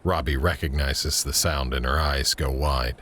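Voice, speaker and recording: A man with an extremely low voice narrates an audio drama. He reads scripted scene headings and action as a storyteller setting each moment. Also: steady tone, a little slow, heavy background noise.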